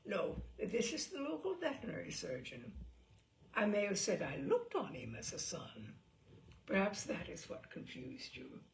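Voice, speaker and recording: Casting: breezy, hearty old lord for a comic storybook, played by a woman, creaky edge, very animated delivery. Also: mid-range voice, measured pace, a little hiss or hum, heavily acted. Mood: breezy, confiding. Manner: hearty, airy, upper-class turns of phrase. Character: jovial, breezy old English aristocrat uncle, brazenly fibbing.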